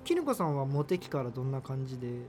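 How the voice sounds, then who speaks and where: A man's voice: mid-range voice, sing-song, fast talker, warm tone, long reverb tail, natural voice. An actor playing an earnest, self-conscious male university student obsessed with subculture in a radio drama. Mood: awkward, earnest. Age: young adult. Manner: hesitant, self-conscious, apologetic. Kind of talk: radio drama dialogue and inner narration. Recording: music behind the voice. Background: music.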